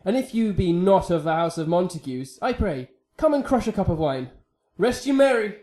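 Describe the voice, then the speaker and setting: An actor playing a chirpy, deferential servant in a Shakespearean radio drama, in a roomy echo. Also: male voice, high voice, animated, moderate pace, a little mellow. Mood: eager, polite. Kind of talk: Shakespearean comic radio acting.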